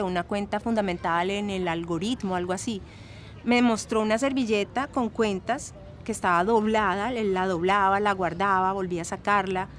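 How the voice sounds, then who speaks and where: An actress playing a chatty former student with a Latin American Spanish accent, giving gossipy, fondly exasperated testimony in an audio drama. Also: female voice, medium pitch, slight background noise, lightly acted. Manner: gossipy, emphatic, sprinkles in English words.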